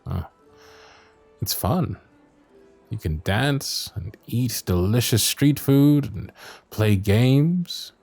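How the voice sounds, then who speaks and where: A man playing a gentle, dutiful young knight of the royal guard in a romantic fantasy audio roleplay, with soft, breathy speech and formal, courtly, hesitant phrasing. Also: medium pitch, lively intonation, drawn-out words, pauses often, soft background music, heavily acted. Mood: shy, explaining.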